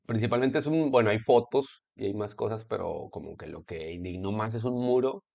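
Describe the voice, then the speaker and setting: A man's voice: lowish voice, vocal fry, a little mellow. A man with a Mexican Spanish accent giving a casual commentary monologue, rambling with many fillers, restarts and sarcastic asides. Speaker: video essayist. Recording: lo-fi home computer recording.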